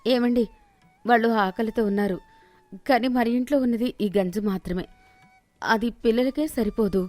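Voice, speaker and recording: A woman's voice: fairly high pitch, normal speed, a little mellow, dry recording, soft background music, lightly acted. A woman voicing a poor, patient but worried village mother in a storybook, homely and plain-spoken. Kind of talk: acted moral storybook dialogue.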